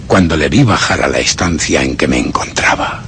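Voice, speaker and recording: An actor playing a tormented, guilt-ridden gentleman narrating his descent into madness in a horror radio drama, with a brooding literary delivery and a Castilian accent. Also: very deep voice, animated, normal speed, a little hiss or hum, heavily acted. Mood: haunted, brooding. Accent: Castilian Spanish. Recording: music bed behind.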